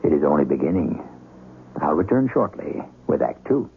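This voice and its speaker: A man hosting and narrating an old-time radio drama in a deliberate, dramatic way. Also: very deep voice, very animated, vocal fry, phone-quality sound. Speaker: host and narrator. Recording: band-limited old radio recording, loud music.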